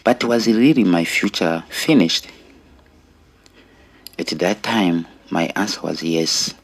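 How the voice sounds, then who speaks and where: A middle-aged man with a Rwandan accent giving an earnest personal testimony in deliberate, short phrases with pauses. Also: deep voice. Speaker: storyteller sharing own life story.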